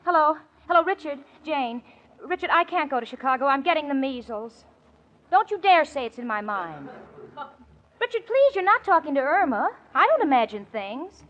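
A woman's voice: high voice, big pitch swings, moderate pace, fairly bright tone. A woman playing an exasperated, anxious young roommate in a 1940s radio comedy. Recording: dull vintage radio recording, noisy, studio audience.

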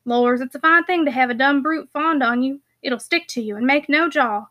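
A woman's voice: high voice, moderate pace, pushed and bright. Woman voicing a folksy, earnest country packman. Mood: fond, persuasive. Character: plainspoken rustic packman, man, good-hearted, loyal, talkative. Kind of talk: audiobook character voice.

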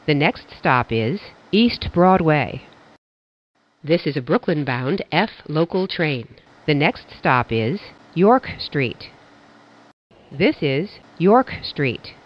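Automated-sounding transit announcer, lo-fi. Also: mid-range voice. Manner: flat, measured, evenly paced phrasing. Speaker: train announcer. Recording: dull lo-fi audio.

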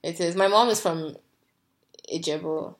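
A woman's voice: on the low side, measured pace, a little hiss or hum. A woman from Nigeria co-hosting a podcast, talking casually and hesitantly, often repeating words as she thinks. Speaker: podcast co-host. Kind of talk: casual chat.

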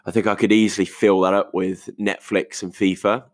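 Young british guy doing a casual podcast voice note, croaky, pretty monotone, dry close sound, kinda rambly. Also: sits low, fairly quick, no echo. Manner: rambling, informal, thinking aloud. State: croaky voice today. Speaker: podcast host. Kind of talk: casual solo voice note, reflective. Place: multi-purpose living room at home.

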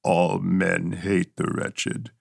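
A brooding, anguished male creature, voiced by a man, pleading in slow, heavy phrases. The voice sits low and grinds with gravel. A husky grain runs under every word. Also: monotone, no room sound, studio quality, full character voice. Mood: bitter.

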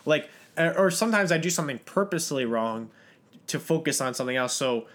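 A man's voice: a little high, animated, fairly quick, a bit bright. A man hosting a fitness podcast in an easygoing, earnest way. He explains lifting form patiently, leaning on plenty of filler words as he goes. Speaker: podcast host. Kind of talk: casual podcast chat.

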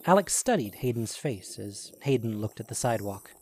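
A man's voice: on the low side, sing-song, normal speed, a little mellow. A man narrating a scripted audio drama as a storyteller.

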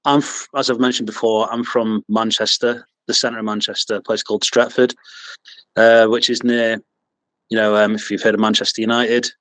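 A man's voice: on the low side, level tone, normal speed, fairly bright tone, dry recording, rough recording. A man with a Mancunian accent, chatting as a podcast guest, hesitant with lots of ums and restarts, chuckling at himself. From England. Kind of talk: casual interview chat.

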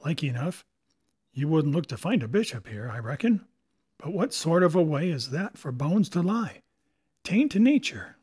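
A man's voice: on the high side, very animated, normal speed, darkish tone. A man doing a crafty old pirate cook, Long John Silver. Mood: musing. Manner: gruff salty sailor talk, hearty and cunning. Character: scheming one-legged old male pirate cook.

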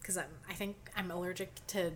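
A woman's voice: lowish voice, little pitch movement, fairly quick, fairly bright tone. A woman in her twenties with a congested, nasal voice, co-hosting a podcast with blunt, wry remarks. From Canada. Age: 20s. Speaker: podcast co-host. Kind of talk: casual chat.

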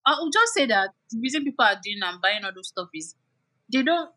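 A woman's voice: on the high side, sing-song, fairly quick, bright, forceful tone. A woman guest explaining things in a casual chat, with lots of "like" fillers.

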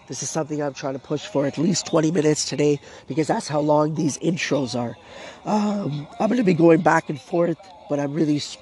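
A man's voice: a little high, a little mellow. A man hosting his own podcast, talking casually and losing his voice from a sore throat. He rambles, with many fillers and restarts. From Canada. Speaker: podcast host. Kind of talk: casual solo podcast talk. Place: outdoors in his backyard. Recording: music playing behind the voice. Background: intro music playing.

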